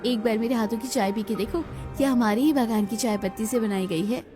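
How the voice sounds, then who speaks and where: Hospitable young village woman acted by a woman, high friendly voice, cartoon dialogue over music. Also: a little fast, close to an everyday voice. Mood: welcoming. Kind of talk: scripted cartoon story dialogue. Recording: music behind the voice.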